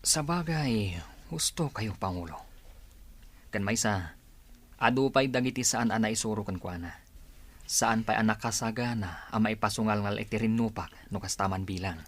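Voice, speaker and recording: An actor playing a hesitant, earnest man in an Ilocano village radio drama, with a husky voice. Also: lowish voice, moderate pace. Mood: respectful, earnest.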